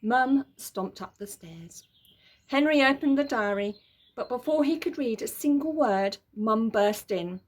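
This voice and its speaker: A woman's voice: on the high side, animated, unhurried. A woman narrating a children's story in a dramatic, emphatic way. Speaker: story reader.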